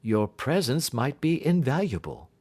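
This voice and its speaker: A man voicing a keen, assured detective in an audiobook, explaining things precisely and deliberately. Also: mid-range voice, sing-song, darkish tone, voice pushed up. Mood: grave, measured. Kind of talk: audiobook character dialogue.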